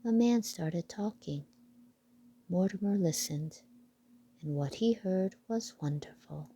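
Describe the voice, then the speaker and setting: A woman reading a children's picture book aloud with deliberate storytelling phrasing. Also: on the low side, dark tone, husky.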